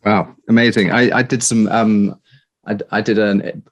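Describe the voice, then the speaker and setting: Male host, low-pitched, rambling conversational questions. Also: level tone, measured pace. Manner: loose, rambling questions with restarts. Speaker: interviewer, host. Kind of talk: casual interview chat.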